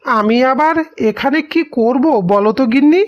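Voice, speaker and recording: A hesitant, deferential village husband acted by a woman with a high voice, in a cartoon drama. The sound is dull, with plucked guitar music behind. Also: normal speed, close to an everyday voice. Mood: submissive, resigned. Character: submissive, hesitant village husband and older brother.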